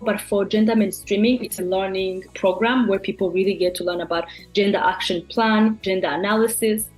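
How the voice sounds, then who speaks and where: A woman's voice: mid-range voice, steady tone, a little fast, husky, soft background music, low-quality recording. A young adult woman from Rwanda, a program consultant speaking thoughtfully to camera, with frequent fillers and restarts.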